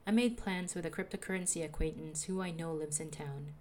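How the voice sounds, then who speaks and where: A young woman with a soothing voice, calmly narrating a personal audio diary as a podcaster. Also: low voice, a little fast, slight background noise. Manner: calm, reflective, confessional.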